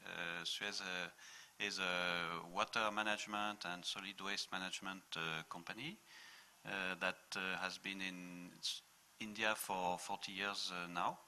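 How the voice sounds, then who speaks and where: This is a man introducing himself on a panel. His voice is deep but bright in tone. He speaks haltingly, pausing on "uh" between nearly every phrase. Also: steady tone, a little hiss or hum. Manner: halting, heavy fillers. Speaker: water company manager on a panel.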